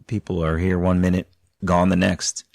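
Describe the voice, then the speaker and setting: A man hosting a podcast, narrating a guest's story and interviewing her in a calm, measured, encouraging way. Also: sits low, gravelly, no room sound, clean studio sound. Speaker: podcast host.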